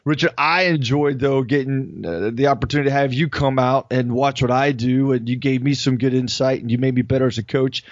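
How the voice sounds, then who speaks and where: A man's voice: medium pitch, steady tone, a little fast, few pauses, dry recording, lo-fi sound. A man hosting a podcast in an upbeat, casual tone. His mid-range voice sounds close and dry, with no room around it. He chats easily with his guest. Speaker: podcast host and coach.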